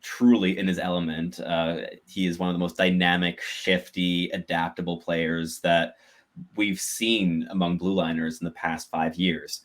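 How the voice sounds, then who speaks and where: A man co-hosting a sports podcast, giving measured, thoughtful analysis with occasional restarts. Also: deep voice, little pitch movement. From Canada. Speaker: podcast co-host.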